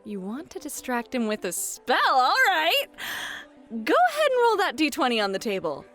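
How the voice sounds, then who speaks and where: A woman narrating a fantasy roleplay as game master, in a playful, teasing, expressive manner. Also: high-pitched, moderate pace, soft background music.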